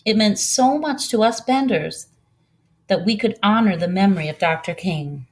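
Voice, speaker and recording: A woman as a proud, gentle older storyteller. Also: mid-range voice, expressive, natural voice. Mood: solemn pride. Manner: earnest, unhurried storytelling.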